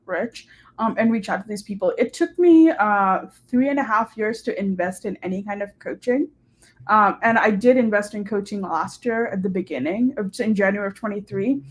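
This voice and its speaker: Chatty young woman, filler-heavy and upbeat. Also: mid-range voice. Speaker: guest, short-term rental host and hotel manager. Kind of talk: casual interview over a live stream.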